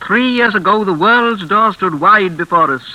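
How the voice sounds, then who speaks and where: An actress playing a menacing, unhinged older mistress of a crumbling hall, honeyed and insistent, in classic radio suspense style. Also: female voice, mid-range voice, pushed and bright, lo-fi sound, natural voice. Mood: bitter, unhinged. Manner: theatrical, honeyed and insistent, with deliberate repetition.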